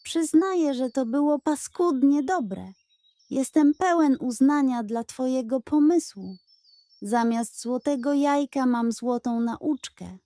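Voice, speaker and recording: A woman's voice: high voice, lively intonation, slow, mellow, dry recording, clean studio sound, pitched above the performer's own voice, full character voice. A woman voicing a cunning, hot-tempered male pug in a children's tale, with an indignant, emphatic manner. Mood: grudging admiration.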